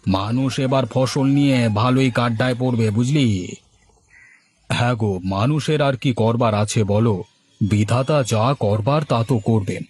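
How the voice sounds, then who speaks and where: A man's voice: medium pitch, moderate pace, a little mellow, slightly rough, dry recording, lightly acted. A man voicing a concerned, neighbourly village farmer in a cartoon moral story, talking in a folksy, exclamatory way.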